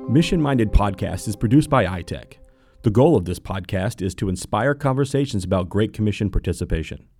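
Low-voiced male announcer over music. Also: expressive, darkish tone, dry recording. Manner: measured, formal read. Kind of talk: scripted outro announcement.

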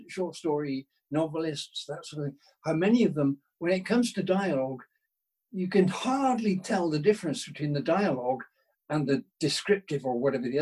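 A British man talks casually as an interview guest. His voice is fairly high for a man and noticeably husky. He rambles through his thoughts in a self-deprecating, discursive way. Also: darkish tone, rough recording. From United Kingdom.

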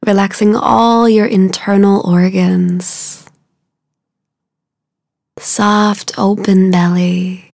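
A woman guiding a meditation in a soothing, hushed way, soft and breathy at the ends of phrases, with unhurried, drawn-out phrasing. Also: medium pitch, little pitch movement, no room sound, studio quality. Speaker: meditation guide. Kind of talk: guided meditation.

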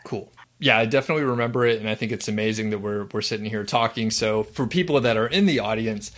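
A man with a low voice hosts a casual interview. He speaks in an upbeat, encouraging way, with the odd filler, asking questions and cheering his guest on. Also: fast talker, a bit bright. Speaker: host.

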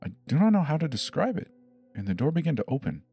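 A man's voice: on the high side, big pitch swings, fast, warm tone, husky, soft background music, pitched above the performer's own voice, full character voice. A man voicing a scared seven-year-old boy in a dramatized horror story, hesitant and pleading, stammering over his words.

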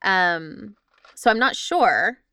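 A woman's voice: medium pitch, lively intonation, takes it slowly, sharp tone, no echo, clean studio sound. A woman podcast host casually talking through her research in conversation. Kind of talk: casual chat, explaining research.

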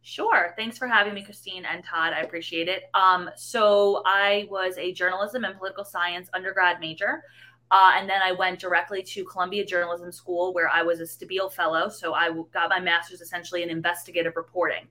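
A woman's voice: lowish voice, little pitch movement, fairly quick, pushed and bright, poor audio. A young woman from New York City with a slight Bronx accent, answering interview questions in articulate, detailed explanations. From New York City, USA. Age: young adult. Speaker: guest.

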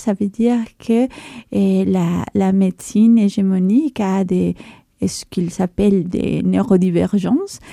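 A middle-aged woman from Mexico, a native Spanish speaker with a Mexican accent, speaking thoughtfully and haltingly as an interview guest. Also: mid-range voice, vocal fry, warm tone, no room sound, clean studio sound. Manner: thoughtful, halting, rephrases as she goes. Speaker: interview guest, philosopher and theatre actress. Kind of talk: reflective interview talk.

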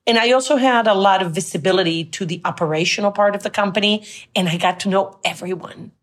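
A woman's voice: medium pitch, quick pace. A middle-aged woman from Colombia whose first language is Spanish, a guest telling her career story in an earnest, reflective way. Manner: reflective, earnest, recounts with quoted dialogue. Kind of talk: conversational interview storytelling.

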